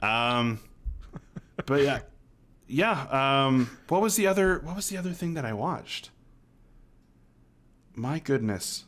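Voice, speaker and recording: A man's voice: mid-range voice, animated, slow pace, pauses often, fairly bright tone. Young man chatting as podcast co-host. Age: young adult. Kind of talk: casual banter. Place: room at home, indoors.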